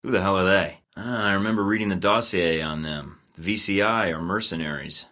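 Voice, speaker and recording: A deep, dry-witted secret agent. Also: male voice, a little fast, sharp tone. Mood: wry. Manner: dry, sarcastic quips. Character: wry male spy agent, adult. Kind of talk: video game voice lines. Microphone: studio mic.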